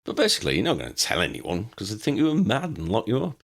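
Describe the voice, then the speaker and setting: A man acts a casual, good-natured wizard in a storybook. He talks bluntly and loosely, with big swings in a low register. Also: wildly swooping pitch, quick pace, gravelly, slightly rough, full character voice. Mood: breezy, blunt. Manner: informal, loose phrasing.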